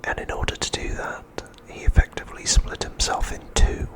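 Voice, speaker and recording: A man narrating an episode recap as an ASMR host in a hushed, breathy, unvoiced whisper, with deliberate delivery.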